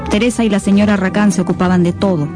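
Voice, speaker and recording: A woman's voice: mid-range voice, fairly even intonation, fast, music in the background, lightly acted. A woman narrating a tragic radio drama as a solemn storyteller, in scripted dramatic style.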